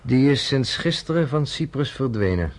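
A man's voice: mid-range voice, expressive, moderate pace, close to an everyday voice. An actor voices a sardonic, probing journalist from a radio drama. He speaks in a plain, mid-range voice. He needles the other person in a teasing way. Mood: matter-of-fact.

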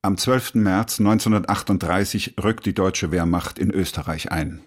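Deep-voiced male documentary narrator. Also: normal speed.

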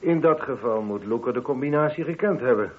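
An actor playing a probing, sceptical police inspector in a Dutch radio play, questioning in a measured, dryly insistent way. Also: male voice, mid-range voice, lively intonation, husky. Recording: muffled, band-limited old radio recording.

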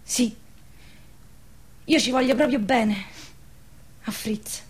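A woman's voice: a little high, takes it slowly. An actress playing a naive, lovestruck young village girl, speaking simply and hesitantly in a rural southern Lazio dialect. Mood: shy, lovestruck. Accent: rural southern Lazio, central Italy. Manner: simple, hesitant, repeating words. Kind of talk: dialect stage drama acting.

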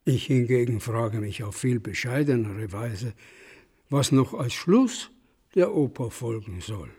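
An actor playing a dry, sceptical gentleman of a period salon in a German radio play, speaking in a deliberate, ironic, old-fashioned way. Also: mid-range voice, expressive, husky. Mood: mock-modest.

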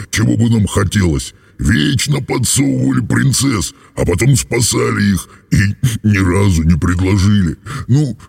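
A man voicing a dragon in a storybook, with a hoarse, rumbling growl and a slow, emotional, drawn-out delivery. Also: a little mellow, heavily acted. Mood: tearful, aggrieved. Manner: slow, drawling, bellowing and sobbing delivery. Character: grumpy, sullen old-fashioned dragon, secretly lonely and sentimental.

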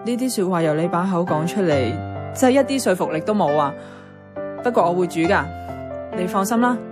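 A woman's voice: lowish voice, animated, fairly quick, close to an everyday voice. An actress with a Hong Kong Cantonese accent playing a sentimental young woman in a radio drama, narrating and acting. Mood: teasing. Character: sentimental young woman about to part from her love. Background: music, plucked guitar.

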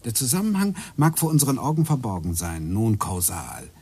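A man's voice: medium pitch, lively intonation, fairly quick, mellow, slightly rough. An actor playing a middle-aged husband in a radio play, earnest and eager as he lectures his wife about hidden connections. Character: obsessive husband hunting coincidences.

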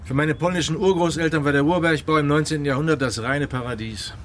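An actor playing a dry-humoured, probing police inspector in a German radio crime drama, speaking deliberately with musing hums. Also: male voice, fairly high pitch, fairly even intonation, slight background noise, natural voice. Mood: wry.

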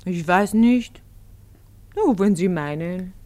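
An actress playing an unimpressed, teasing lover in a German radio play, coy and nonchalant. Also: female voice, lowish voice, expressive, takes it slowly, mellow. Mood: coy, eager.

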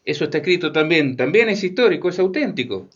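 A man's voice: on the high side, sing-song, fast, a bit bright. An actor playing a scornful, knowing man, emphatic and repetitive in radio drama dialogue. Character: scornful, knowing man confirming historical facts. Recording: dull lo-fi audio.

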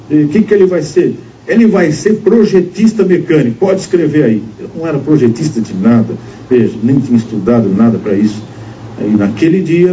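A man's voice: mid-range voice, warm tone. An older Brazilian man telling a testimony in a sermon, acting out the dialogue in his story with emphasis. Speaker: preacher giving testimony. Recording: distant lo-fi sermon recording with faint noise.

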